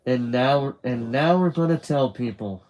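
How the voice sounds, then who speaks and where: A man's voice: medium pitch, unhurried, darkish tone, close to an everyday voice. A man voicing a shy, emotional teenage boy in a story, tentative and earnest.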